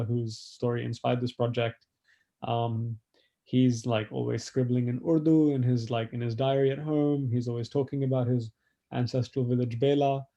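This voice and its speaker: Young man from Delhi and Melbourne, dark mellow tone, muffled lo-fi line. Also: mid-range voice, gravelly. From born in Delhi, raised in Melbourne. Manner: flat, easygoing storytelling. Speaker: guest, project co-founder. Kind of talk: conversational podcast interview.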